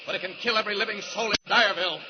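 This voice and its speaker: Man playing an urgent, high-voiced radio superhero. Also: racing, close to an everyday voice. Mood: urgent resolve. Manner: urgent, self-narrating, effortful. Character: determined heroic male superhero racing to save lives. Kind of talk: 1940s radio serial acting. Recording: muffled, noisy old radio recording.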